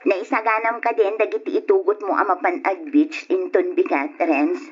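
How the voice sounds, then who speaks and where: A woman's voice: medium pitch, steady tone, normal speed, slightly rough, faint music behind, lightly acted. An actress playing a cheerful, teasing female college student in an Ilocano radio drama, speaking with an Ilocano accent. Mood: cheerful, excited. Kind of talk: old radio drama acting. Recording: dull lo-fi radio drama recording.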